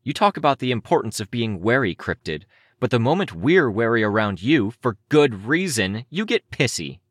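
A man voicing a composed, practical young woman in a story, assertive and protective, with a calm, firm manner. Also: medium pitch, normal speed, husky, no echo, clean studio sound, pitched above the performer's own voice. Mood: wary, scolding.

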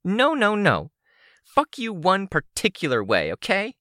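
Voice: mid-range voice, wildly swooping pitch, measured pace, pushed and bright, no room sound, studio quality, pitched above the performer's own voice, heavily acted. A narrator voicing a furious, taunting young female supervillain with a shrieking, sneering, profane delivery.

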